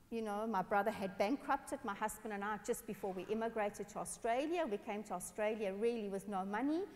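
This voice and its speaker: A woman from South Africa, a pastor telling personal stories in a lively sermon on a church stage. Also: fairly high pitch. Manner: animated, expressive, gestures while speaking. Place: large dark church stage. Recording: long church hall reverb.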